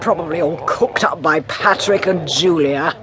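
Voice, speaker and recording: An actress playing a brusque, no-nonsense English countrywoman in a radio drama, gruff, curt and clipped. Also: deep voice, moderate pace, crowd noise, close to an everyday voice. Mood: bossy, grumbling. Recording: noisy outdoor farmyard ambience.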